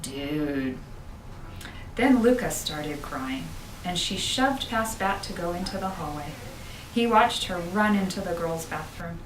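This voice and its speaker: Woman, children's audiobook narration, expressive, slightly low pitch, echoey room with background noise. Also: a little slow. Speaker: school principal reading aloud. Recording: roomy, distant, noisy home-style recording.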